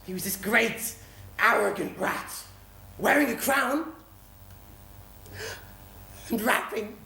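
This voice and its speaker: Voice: mid-range voice, takes it slowly, vocal fry, sharp tone, exaggerated voice. An actor playing a washed-up, drunken old cat in a stage pantomime, rambling and melodramatic. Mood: grandiose storytelling. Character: washed-up, drunken, self-pitying old alley cat, former rat catcher. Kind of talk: stage pantomime comedy acting. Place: theatre stage before a live audience. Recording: echoey theatre stage recording with audience.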